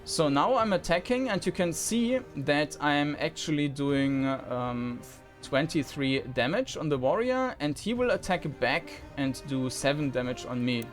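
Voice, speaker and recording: Slow-talking man over game music. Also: a little high, expressive. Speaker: game reviewer commentator. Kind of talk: unscripted preview commentary over gameplay.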